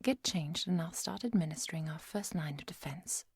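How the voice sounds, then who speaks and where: A woman playing a kind, protective vampire hunter in a soft, often whispered ASMR roleplay, speaking in a gentle, measured and formal way. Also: deep voice, lively intonation, breathy edge, no room sound, studio quality, heavily acted. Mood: protective, calm. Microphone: close microphone, dry.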